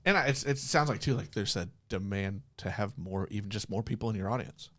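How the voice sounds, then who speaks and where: A man's voice: lowish voice, brisk, fairly bright tone. A man interviewing someone in a casual, encouraging and informal way, as a host.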